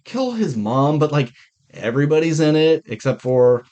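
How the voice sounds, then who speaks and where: A man's voice: a little high, sing-song, normal speed, warm tone, dull audio. A man co-hosting a podcast, chatting casually about films in a blunt, sweary way. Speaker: podcast co-host. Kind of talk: casual film review chat.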